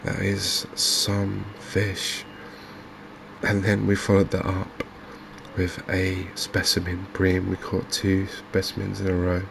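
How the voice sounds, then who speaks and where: A man giving softly spoken, ASMR-style commentary as host of a gaming channel, in a halting, understated way. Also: low voice, completely flat delivery, gravelly, background noise.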